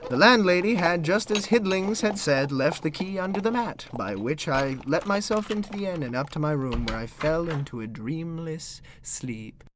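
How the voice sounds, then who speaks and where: An actor playing a prim, easily flustered young gentleman who narrates his own story theatrically, polite and incredulous. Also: on the high side, animated, normal speed, few pauses, background noise, natural voice. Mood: narrating, wry. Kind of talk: theatrical first-person narration and dialogue.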